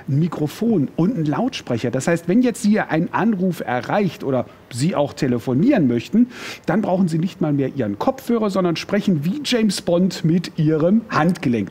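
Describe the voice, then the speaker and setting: Animated, slightly husky male TV salesman. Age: middle-aged. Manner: chatty sales pitch with playful asides. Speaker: shopping TV presenter. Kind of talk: enthusiastic product presentation. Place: TV studio.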